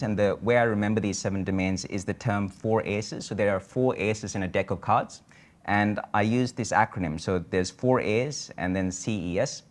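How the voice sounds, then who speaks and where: A man giving a conference lecture on a medical topic, explaining in a measured way with frequent filler sounds. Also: on the low side.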